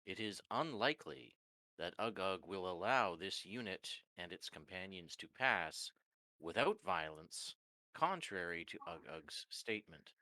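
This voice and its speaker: A man's voice: sits low, lively intonation, measured pace, bright, forceful tone, no echo, full character voice, rough recording. A man voicing a terse, mechanical warforged construct that announces each statement type before speaking. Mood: flat, methodical. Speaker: player.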